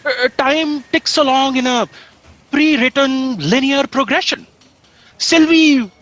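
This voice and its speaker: Slow, earnest actress as long-suffering office aide. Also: female voice, high-pitched, fairly bright tone, muffled recording, heavily acted. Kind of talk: comedic audio drama acting.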